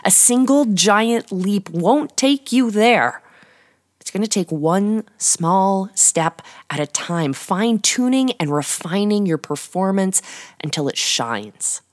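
A woman podcast host delivering a scripted monologue in a storytelling style, with dramatic, deliberate phrasing and emphatic pauses. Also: mid-range voice, animated. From Canada.

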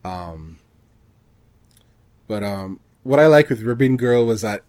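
A man co-hosting a podcast, chatting casually about gaming in a halting way, often rephrasing himself mid-thought. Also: lowish voice, animated, a little mellow, no room sound. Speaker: podcast co-host.

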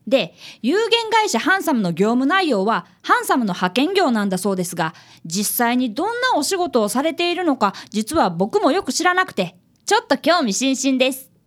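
A high, very lively little boy played by a woman, earnest and eager in his politeness. He is an apprentice detective in a radio drama and also narrates it. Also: normal speed. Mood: earnest narration. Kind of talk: Japanese comic radio drama acting.

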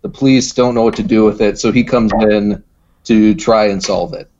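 A man chatting casually as a podcast guest, hesitant and low-key in how he talks. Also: fairly even intonation, normal speed, a little mellow. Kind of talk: casual chat. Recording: slightly dull, lo-fi audio.

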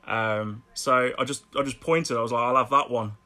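A middle-aged man with a Birmingham accent, chatting casually as a podcast guest, laughing often and repeating words when excited. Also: medium pitch, moderate pace. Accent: Birmingham English. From Birmingham, England. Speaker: guest artist.

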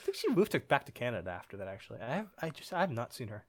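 A man's voice: mid-range voice, sing-song, rapid-fire, fairly bright tone. A young man with an American accent, a podcast guest telling a story in casual chat and laughing often as he goes.

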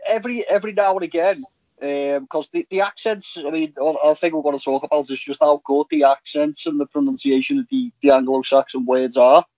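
A man in casual, halting podcast chat about TV, rambling as a co-host. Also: a little high, warm tone, no echo. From Liverpool, England. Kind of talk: casual chat reviewing TV shows. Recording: slightly dull, lo-fi audio.

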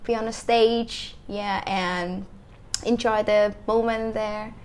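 A young Vietnamese woman answering interview questions in halting English with a Vietnamese accent and many fillers. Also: mid-range voice, steady tone, darkish tone. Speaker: guest, English teacher.

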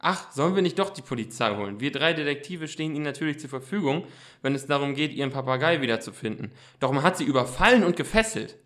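A man's voice: on the high side, lively intonation, fast, fairly bright tone. A man playing an eager, self-assured boy detective who takes charge, in an amateur German radio play. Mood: helpful.

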